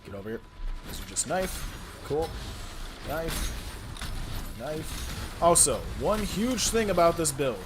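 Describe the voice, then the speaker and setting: Young male streamer with a head cold; loose, self-deprecating gameplay commentary over clear game noise. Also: on the high side, sing-song, slow, pauses often. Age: young adult. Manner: rambling, self-deprecating, explains build tips. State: sniffly from a cold. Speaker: gaming content creator.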